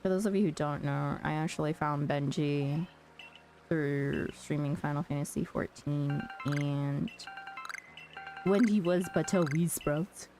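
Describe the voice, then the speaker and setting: A woman's voice: sits low, a little slow, warm tone, faint music behind. A woman chatting casually on a live game stream as its host, thinking aloud in a friendly, chatty way.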